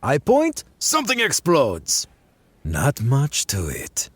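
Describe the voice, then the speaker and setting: A man in his thirties playing a gruff, laid-back armored pilot who talks offhandedly. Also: medium pitch, wildly swooping pitch, slow, no room sound, exaggerated voice. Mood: offhand. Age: 30s. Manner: casual, offhand.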